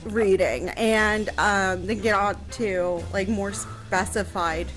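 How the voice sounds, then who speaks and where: A woman talking as a historian on a casual show, explaining things in a hesitant, halting way. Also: mid-range voice, a bit bright, soft background music, poor audio. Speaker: historian guest answering questions on a radio show.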